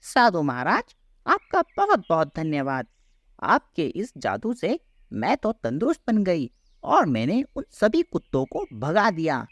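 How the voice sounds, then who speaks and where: A skinny village cow from a children's cartoon , voiced by a woman, plaintive and full of exclamation. The pitch moves up and down a lot, and the sound is close and dry. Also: wildly swooping pitch, a little slow, no room sound, heavily acted. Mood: grateful. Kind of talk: children's cartoon story acting.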